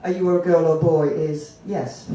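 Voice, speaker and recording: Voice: medium pitch, steady tone, unhurried, very noisy. A spoken word poet in their thirties, born in Fiji and raised in Jamaica, performing poems at a stand mic in a festival tent with rhythmic, emphatic delivery. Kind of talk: performance poetry on stage. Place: festival tent stage, outdoors. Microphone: stand microphone, filmed from the audience. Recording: echoey, from far off.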